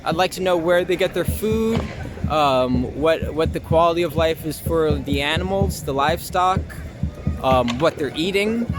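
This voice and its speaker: A young American man with a fairly high voice answers interview questions. He talks casually and loosely, pausing to find his words and slipping into informal language. Music plays clearly behind him. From Long Island, United States. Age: young adult. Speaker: actor being interviewed.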